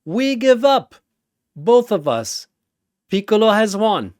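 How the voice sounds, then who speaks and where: A man plays a teenage boy fighter from an action story. He is earnest and understated, with a deadpan manner, recorded very dry. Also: sits high, slow, no room sound, voice pushed up, heavily acted. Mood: shouting. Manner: flat, understated.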